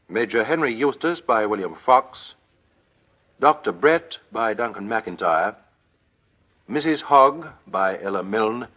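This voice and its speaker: Low-pitched formal British radio announcer, male. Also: fairly bright tone. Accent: British Received Pronunciation. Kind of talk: formal scripted credits announcement. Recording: dull vintage radio recording.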